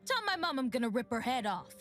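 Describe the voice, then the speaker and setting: Video game role: sassy, vengeful teen girl warrior, awkward streak, higher register, faint music. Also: very animated, quick pace, a bit bright. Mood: defiant, threatening.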